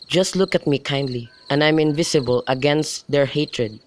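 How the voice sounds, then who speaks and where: A man's voice: fairly high pitch, normal speed, lightly acted. Man as reckless, lovestruck young nobleman, amateur radio Shakespeare, slightly noisy homemade recording with night insects. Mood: ardent. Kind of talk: student radio drama acting of modernized Shakespeare. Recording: noisy home recording, crickets behind.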